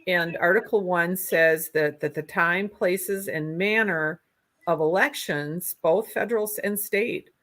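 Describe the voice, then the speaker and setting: A woman with a thick Minnesota accent talks through a remote interview, a little low-pitched for a woman. She speaks earnestly and halts often, with ums and restarts as she works through her points. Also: measured pace, a bit bright. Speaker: candidate for Secretary of State. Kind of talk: political interview, conversational.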